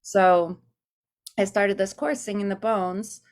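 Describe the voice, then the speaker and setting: A woman singer and teacher on a video call from her desk at home, telling her personal story in an earnest, searching way and pausing to gather her thoughts. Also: mid-range voice, steady tone. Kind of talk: reflective personal account. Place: home room at a desk by a window.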